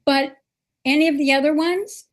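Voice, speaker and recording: A woman's voice: high-pitched, steady tone. A woman hosting a political talk show, speaking earnestly and with emphasis. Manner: earnest, emphatic, slow and deliberate.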